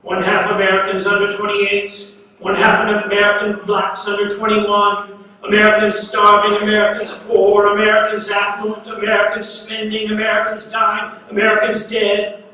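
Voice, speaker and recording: A man's voice rings out from far off in a very echoey hall, with a long reverb tail. He recites poetry like an incantation, pitched high, repeating phrases insistently and setting them apart with emphatic pauses. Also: completely flat delivery, bright, forceful tone. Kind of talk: dramatic spoken-word poetry recitation. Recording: very echoey hall, distant mic, faint noise.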